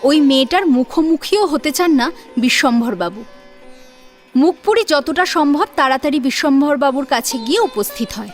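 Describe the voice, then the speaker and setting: High, animated woman telling a story. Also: moderate pace. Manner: expressive, rhetorical. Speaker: story narrator. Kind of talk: dramatic scripted audio-story narration. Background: music.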